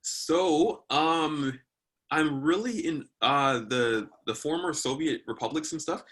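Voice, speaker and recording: A man's voice: fairly high pitch, very animated, slow, a bit bright. A man from Canada, a guest in a casual interview, speaking thoughtfully and hesitantly with frequent ums.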